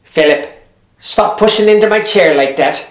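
A storyteller voicing an elderly mother in a wheelchair, high-pitched and fussy. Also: moderate pace, natural voice. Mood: indignant. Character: frail old Irish mother in a wheelchair. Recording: roomy echo.

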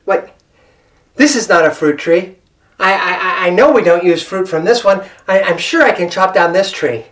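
A woman's voice: on the low side, normal speed, natural voice. A woman storyteller playing a timid, apologetic woodcutter who stammers and hesitates. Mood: musing. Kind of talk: live folktale storytelling.

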